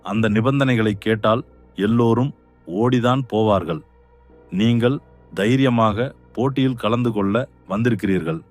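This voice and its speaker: A man voicing a deep-voiced greedy cartoon king. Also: measured pace, long pauses, soft background music, lightly acted. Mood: stern, commanding. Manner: stern, commanding, boastful. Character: greedy scheming adult king, proud. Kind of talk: cartoon voice acting.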